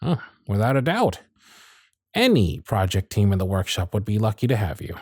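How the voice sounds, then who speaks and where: Man acting as an animated, sardonic workshop supervisor. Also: fairly low pitch, moderate pace, breathy edge, dry recording, clean studio sound. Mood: sincere, gentle. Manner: theatrical, exasperated, sarcastic phrasing. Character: proud, sardonic male workshop supervisor, protective of his intern. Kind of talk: scripted ASMR roleplay acting. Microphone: close studio mic, dry.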